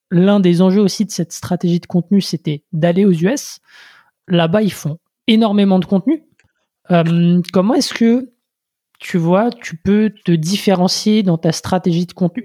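A podcast host with a mellow, warm tone puts long questions to a guest. The voice sounds dry and close, with halting restarts and frequent filler sounds. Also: mid-range voice, no echo. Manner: hesitant, many restarts and fillers. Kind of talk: interview questions.

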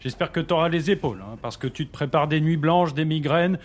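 Guy doing a fussy stuck-up official in an audio satire, hesitant, peevish, voice a little high. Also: brisk, slight background noise, lightly acted. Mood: sarcastic. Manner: hesitant, stuffy. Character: fussy, stuffy male official, peevish and condescending. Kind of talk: satirical audio drama acting.

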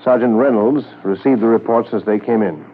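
Formal lowish-voiced 1950s radio narrator man. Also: slight background noise, lightly acted. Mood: solemn, factual. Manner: formal, measured. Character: solemn documentary-style police drama narrator. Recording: tinny 1950s radio recording.

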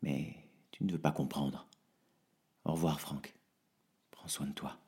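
A man's voice: rumbling low, fairly even intonation, measured pace, vocal fry, husky, pitched below the performer's own voice, full character voice. A man voicing a weary, heartbroken woman. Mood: broken, tired. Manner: quiet, clipped, voice breaking.

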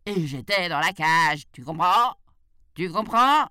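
Lady doing a grumpy bossy tiger, growly n impatient, slow heavy words, storybook tale. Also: low voice, expressive, a bit bright, smooth, dry recording, studio quality, exaggerated voice. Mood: exasperated. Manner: growling, domineering. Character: fierce, impatient, hungry male tiger.

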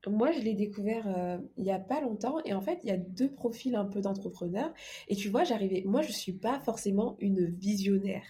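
A woman's voice: mid-range voice, animated, brisk, mellow. A woman speaking casually as a podcast guest, explaining things informally with examples. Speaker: podcast guest, organisation coach. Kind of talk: casual interview conversation.

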